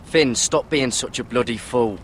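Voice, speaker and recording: A man's voice: medium pitch, measured pace, slight background noise, natural voice. Man acting level-headed, blunt young recruit. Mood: exasperated. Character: steady, stubborn young Night's Watch ranger recruit. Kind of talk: video game voice acting.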